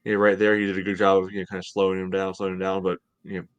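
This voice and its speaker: A man's voice: deep voice, completely flat delivery, very fast, clear, resonant tone, dry recording, muffled recording. A man in his thirties co-hosting a casual sports analysis from home on a video call. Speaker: podcast co-host.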